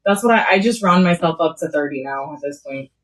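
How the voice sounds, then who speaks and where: A woman's voice: low voice, lively intonation, fairly bright tone, distant mic, rough recording. A woman in her thirties co-hosting a live craft stream, reading viewers' comments aloud in a casual, upbeat way. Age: 30s. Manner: relays comments quickly, upbeat.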